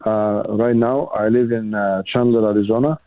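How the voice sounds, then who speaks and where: A priest from Romania, calling in to a radio show. He speaks in a low voice and stops often to search for words. The sound is dry and thin, coming through a tinny phone line. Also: steady tone, no room sound. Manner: halting, many hesitations. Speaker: caller, priest.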